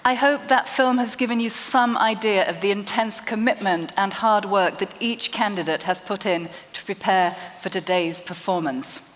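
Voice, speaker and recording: A woman of medium pitch gives a formal, scripted presentation from a stage. Her voice carries long reverberation, as in a big theatre hall. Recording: reverberant large hall sound system.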